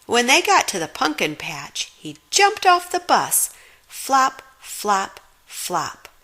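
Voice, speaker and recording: A woman's voice: fairly high pitch, huge pitch swings, slow, bright, forceful tone. A woman reading a children's storybook aloud expressively, giving dramatic emphasis to the sound words. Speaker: storybook reader.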